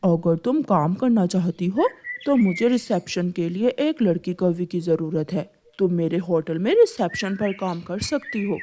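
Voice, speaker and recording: A woman's voice: on the low side, lively intonation, unhurried, warm tone, slight background noise, voice pushed down. A woman voicing a helpful, matter-of-fact bird tradesperson in a cartoon. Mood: businesslike. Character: helpful working bird, blacksmith or pigeon hotel keeper.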